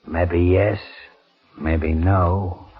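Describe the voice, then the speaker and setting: Low-voiced cynical old-time radio convict. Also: male voice, fairly even intonation, drawn-out words, breathy edge, heavily acted. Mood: goading. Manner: slangy, goading, tough-guy delivery. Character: cynical, ruthless male convict plotting a jailbreak. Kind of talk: 1940s radio crime drama acting. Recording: dull lo-fi 1940s radio recording.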